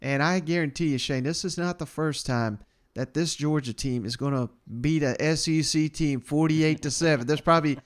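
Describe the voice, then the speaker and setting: A man's voice: on the high side, moderate pace. A man hosting a sports podcast, giving casual commentary in a colloquial, conversational way. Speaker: podcast host.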